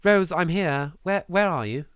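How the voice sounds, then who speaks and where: Horror game heroine played by a man: anxious young woman, theatrical, bright forceful tone, very dry close recording. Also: high-pitched, animated, a little slow, clear, resonant tone, no echo, pitched above the performer's own voice. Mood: calling out, scared. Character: frightened young woman exploring a haunted mansion, uneasy, jittery. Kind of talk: dramatic reading of horror visual novel text.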